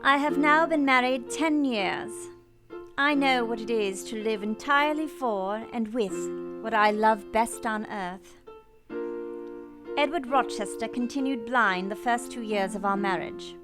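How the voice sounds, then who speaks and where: A woman plays a gentle, devoted heroine of period romance with a fairly high voice. Her delivery is tender, earnest and measured, in radio-play style, with music clearly playing behind her. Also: lightly acted. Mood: serene, reflective. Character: devoted, gentle, self-possessed young woman narrating her love story.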